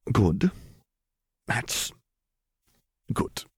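A bewildered male wizard agent from a fantasy storybook, played by a male narrator. He is flustered and incredulous, with halting delivery. The sound is dry and close. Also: a little mellow, no echo, natural voice. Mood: dazed, halting.

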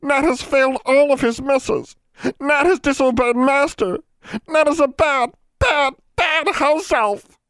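A man's voice: extremely high pitch, takes it slowly, fairly bright tone, no echo, voice pushed up, heavily acted, low-quality recording. A man voicing a tiny, servile, guilt-ridden male house elf who wails and pleads, in an audiobook. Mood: wailing self-blame. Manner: wailing, pleading, speaks of himself in third person. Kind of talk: audiobook character voice.

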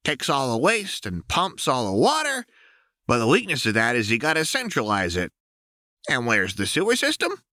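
Man putting on a manic, piercingly bright young woman crime baron. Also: medium pitch, huge pitch swings, normal speed, no echo, studio quality, voice pushed up. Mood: gleeful. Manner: brash, taunting, swinging delivery.

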